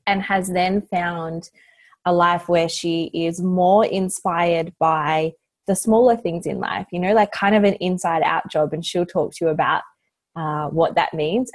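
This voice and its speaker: A woman's voice: lowish voice, no room sound. A woman hosting a podcast interview, chatting casually with lots of "like" and "you know". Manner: warm chatty, many fillers like "like" and "you know". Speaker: podcast host.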